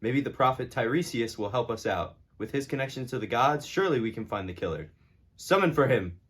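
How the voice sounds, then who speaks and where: Guy doing a sarcastic hot-tempered king, medium pitch, casual puppet show acting. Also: lively intonation, a little fast, a bit bright, breathy edge. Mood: commanding.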